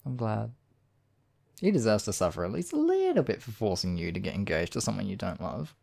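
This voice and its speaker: Creaky, mellow demon king lover, acted by a man. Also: lowish voice, big pitch swings, brisk, heavily acted. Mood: tender, protective. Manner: intimate, courtly, playful. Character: devoted male demon king, tender, teasing lover. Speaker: voice actor in audio roleplay. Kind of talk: ASMR romantic roleplay acting.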